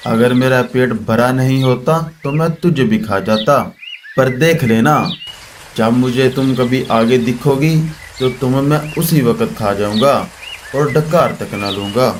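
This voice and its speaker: A man plays a predatory eagle boss from a children's cartoon. He sounds menacing and gloating at a medium male pitch, over clearly noisy bird ambience. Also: a little slow, close to an everyday voice. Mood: angry threatening.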